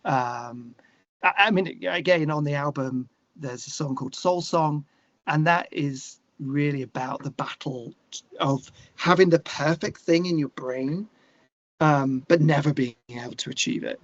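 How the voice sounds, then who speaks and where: A man's voice: fairly high pitch, a little slow, no room sound. A British man with a slightly raised voice talks as a guest in a casual interview. He is earnest and discursive, circling back over phrases. The sound is close and dry, with a slightly dull, lo-fi edge. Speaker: interview guest, musician and songwriter.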